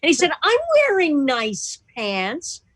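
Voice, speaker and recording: A woman's voice: high-pitched, very animated, takes it slowly, fairly bright tone, no echo. A woman chatting casually and jokingly as the host of a club's video call, telling little anecdotes. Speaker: host of an online club meeting. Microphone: computer mic on a video call.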